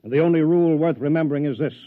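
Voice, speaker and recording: A man's voice: fairly high pitch, very fast, lightly acted. An actor playing a smug, contemptuous wealthy man in 1950s radio drama style, scornful and lecturing. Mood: lecturing, proud. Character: smug, contemptuous wealthy male rival, coldly competitive. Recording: tinny vintage radio recording.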